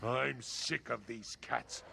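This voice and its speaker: An actor playing a surly, contemptuous male raider with a gravelly voice in a fantasy game. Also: mid-range voice, steady tone, takes it slowly, pushed and bright, a little hiss or hum, full character voice. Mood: disgusted, impatient.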